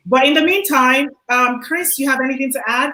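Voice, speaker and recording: A woman's voice: high voice, a little fast, sharp tone. A woman hosting a live online discussion, upbeat, reading viewer comments aloud. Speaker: host. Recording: echoey room.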